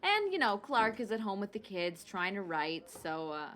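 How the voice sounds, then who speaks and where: A woman's voice: mid-range voice, very animated, moderate pace, a bit bright, slight background noise. An actress playing a cheerful, confident young mother working a 1960s town hall switchboard, with a chirpy, folksy phone manner in a radio play. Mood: cheerful, warm. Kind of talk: period radio play acting.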